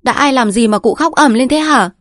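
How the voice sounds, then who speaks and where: Woman voicing a high-pitched, indignant village daughter-in-law. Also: a little fast, a bit bright, no echo, clean studio sound. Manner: snapping, indignant, protesting. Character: aggrieved village daughter-in-law, defiant, sharp-tongued.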